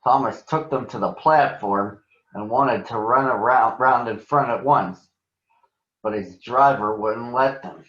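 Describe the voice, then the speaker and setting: A man's voice: medium pitch, a little slow, a bit bright. A man narrating a children's story to young listeners as a storybook reader. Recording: roomy echo, dull sound.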